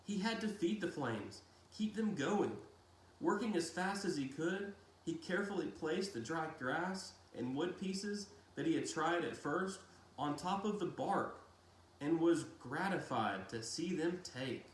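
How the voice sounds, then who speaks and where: Guy reading a story out loud real slow, kinda high voice, dramatic pauses, roomy echo. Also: animated. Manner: deliberate, dramatic phrasing with pauses. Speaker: audiobook-style reader. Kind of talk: expressive storybook narration of a novel. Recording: roomy echo with faint hiss.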